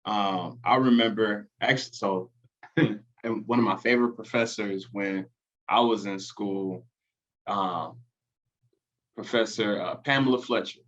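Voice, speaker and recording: A man hosting a podcast, chatting in a relaxed, encouraging way and sharing a story of his own. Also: on the low side, a little slow, highs cut off. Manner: conversational, encouraging, laughs along. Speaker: podcast host. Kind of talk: casual chat.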